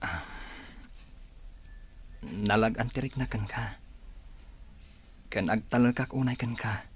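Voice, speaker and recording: A man's voice: on the low side, takes it slowly, husky, full character voice. An actor with an Ilocano accent playing an earnest, hesitant man in a radio drama. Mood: nervous, hesitant. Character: earnest, hesitant man in a rebel group, shyly in love.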